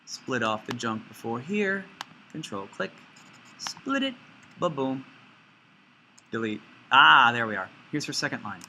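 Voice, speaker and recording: A man teaching an informal step-by-step software tutorial, playfully talking himself through each action out loud. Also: mid-range voice, sing-song, measured pace, pauses often, a little hiss or hum.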